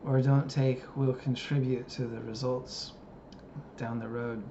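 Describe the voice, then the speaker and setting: A man's voice: mid-range voice, little pitch movement, unhurried. A man in his thirties thinking aloud in a casual personal vlog, hesitant and musing, with frequent fillers. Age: 30s. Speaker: vlogger. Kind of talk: reflective personal monologue. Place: living in Japan. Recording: dull lo-fi audio with background noise.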